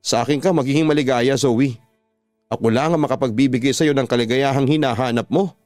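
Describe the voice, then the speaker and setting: A man's voice: mid-range voice, a little fast, darkish tone, no room sound, faint music behind, lightly acted. A Filipino man voicing a possessive, manipulative ex-boyfriend in a radio drama, insistent in his delivery. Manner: pleading turning insistent and threatening.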